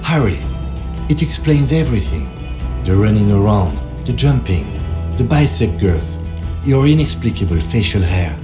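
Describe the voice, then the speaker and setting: An actor playing a smug, wordy police officer in a video game, amused and theatrical, with music behind him. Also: male voice, lowish voice, very animated, moderate pace. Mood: amused, mocking.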